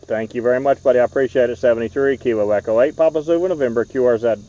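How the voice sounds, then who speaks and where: A man's voice: mid-range voice, mellow, no echo, recorded from a distance, lo-fi sound. Man reading call signs outdoors. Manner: brisk, repetitive call-sign reading. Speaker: ham radio park activator. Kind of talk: rapid radio contact exchange. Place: outdoors in a park. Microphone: handheld radio microphone.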